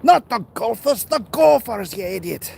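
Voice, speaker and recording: A man's voice: extremely high pitch, animated, unhurried, gravelly, dark tone. An American man in casual, rambling livestream chat, talking off the cuff and answering comments as he walks. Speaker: livestream host. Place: outdoors, walking along neighborhood streets. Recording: outdoor street noise with passing traffic. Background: urban outdoor ambience, vehicles.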